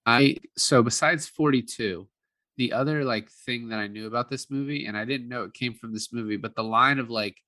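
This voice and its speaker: A man's voice: medium pitch, normal speed, sharp tone. A man co-hosting a casual film review podcast, chatting in a jokey way. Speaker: podcast co-host.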